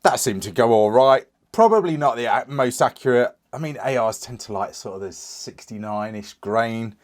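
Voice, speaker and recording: A British man in his thirties, a little croaky from a cold, casually reviewing a rifle with many fillers and restarts. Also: mid-range voice, lively intonation, moderate pace. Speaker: firearms reviewer.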